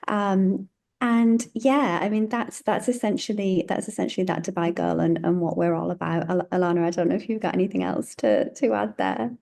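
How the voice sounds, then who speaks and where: Mellow-voiced woman, chatty guest. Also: lowish voice, steady tone, normal speed, gravelly. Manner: chatty, frequent um fillers and restarts. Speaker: guest, marketing director. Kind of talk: podcast interview.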